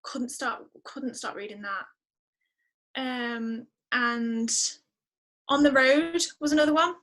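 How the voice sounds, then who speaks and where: Bright, high-pitched woman chatting. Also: slow pace. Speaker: podcast guest; musician. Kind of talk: casual, chatty interview answers.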